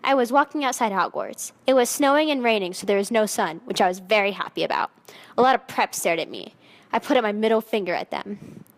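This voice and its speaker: A woman's voice: mid-range voice, animated, a little fast, natural voice. A woman voicing a haughty, melodramatic seventeen-year-old goth vampire girl in a dramatic fan fiction reading, with emphatic teenage delivery. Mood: self-absorbed, dramatic. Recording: echoey hall with long reverb.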